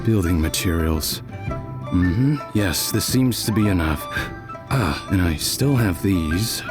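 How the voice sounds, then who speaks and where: A man's voice: deep voice, animated, slow pace, over loud music. A man voicing a friendly, modest lantern craftsman in a video game, patient and encouraging, humming thoughtfully as he goes. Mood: musing. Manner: easygoing, encouraging, hums thoughtfully. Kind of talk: video game character acting.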